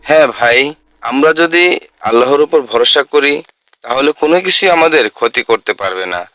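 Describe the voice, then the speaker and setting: A man playing a calm, devout young village friend in a cartoon, speaking in a steady, reassuring way. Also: medium pitch, brisk, no echo.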